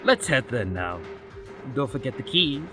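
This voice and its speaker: A man's voice: medium pitch, big pitch swings, a little slow, over music. A man playing an arrogant, scheming villainous scientist in a comic dub, speaking in precise, wordy, pompous diction. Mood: smooth, deceitful. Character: arrogant, calculating villainous male doctor scientist, theatrical schemer.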